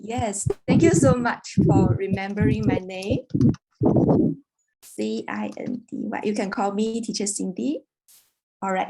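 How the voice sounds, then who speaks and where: A woman from China who is not an English speaker, teaching a children's English class online in a slow, patient, clear way. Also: medium pitch, dark tone, poor audio. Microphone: video call.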